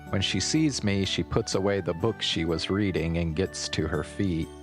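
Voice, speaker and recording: A man reading visual novel narration aloud in a measured way, with the odd stumble. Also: sits low, over music.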